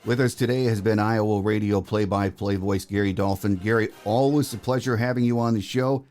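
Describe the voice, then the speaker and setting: A man's voice: on the low side, fairly even intonation, brisk, a little mellow. A man hosting a sports podcast, speaking in a presenter's style. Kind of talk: podcast hosting and scripted sign-off.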